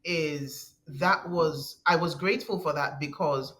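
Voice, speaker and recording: A woman guest with a deep voice, talking in an earnest, halting way with frequent restarts and soft laughs. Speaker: podcast guest. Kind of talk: conversational interview.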